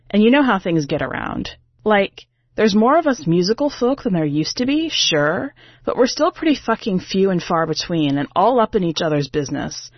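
A woman's voice: fairly low pitch, no echo, muffled recording. A woman narrating a short story as a brash, defensive, sarcastic female singer, in a wry, exasperated, conversational way.

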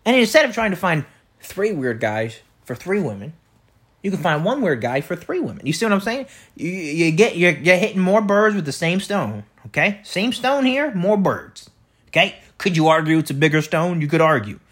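A man with a loud, projecting voice rambling through a comedic solo monologue as a podcast host, repeating himself and tossing out rhetorical questions. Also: high voice, very animated, moderate pace, breathy edge. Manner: repetitive, riffing with catchphrases and rhetorical questions. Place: indoor room beside an open window.

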